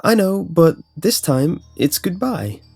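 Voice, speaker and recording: Man as small child, raised pitch, innocent and eerie, plain delivery, horror storytelling, music behind. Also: sing-song, slow, darkish tone, husky, heavily acted.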